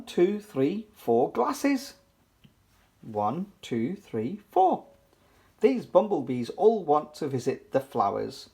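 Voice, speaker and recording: A children's book reader giving an animated, playful, sing-song read-aloud for toddlers. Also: mid-range voice.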